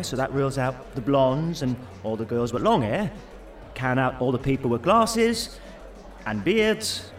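A high, animated young man's inner voice, nervous and self-berating, with an unhurried delivery. It is sarcastic, sweary audio drama comedy, heard a little back from the mic. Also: far from the mic.

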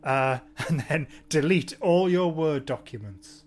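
Brief: man, somewhat high-pitched, exasperated and sarcastic, casual podcast co-host. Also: a little slow. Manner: exasperated, sarcastic, animated complaining. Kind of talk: casual podcast chat reviewing a TV episode.

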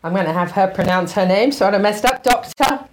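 A woman's voice: medium pitch, brisk. A woman hosting a radio talk show, upbeat and chatty, reading sponsor spots.

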